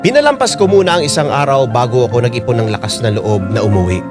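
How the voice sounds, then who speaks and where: Actor as a remorseful Filipino husband, music behind. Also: male voice, medium pitch, lively intonation, fast talker, close to an everyday voice. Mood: regretful. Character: remorseful, defensive unfaithful husband and young father. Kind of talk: Filipino radio drama acting.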